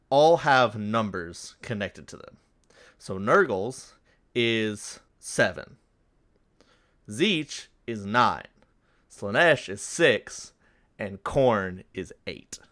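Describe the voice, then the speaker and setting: A man's voice: on the low side, big pitch swings, extremely slow, long pauses, fairly bright tone, dry recording. A man co-hosting a podcast, explaining and narrating fictional lore. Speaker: podcast co-host.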